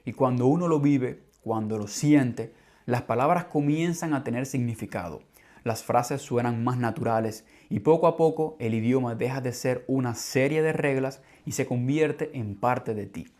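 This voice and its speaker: A man with a Cuban Spanish accent hosts a podcast for Spanish learners. He reads a script calmly and clearly at a measured pace, and his voice sits at an everyday middle pitch. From Cuba. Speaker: language teacher and podcast host.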